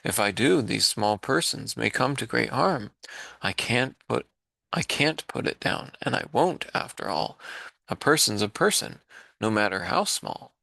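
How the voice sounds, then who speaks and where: A man's voice: medium pitch, lively intonation, unhurried, creaky, pushed and bright, no echo, exaggerated voice. A man voicing a big, kind male elephant who is earnest and worried.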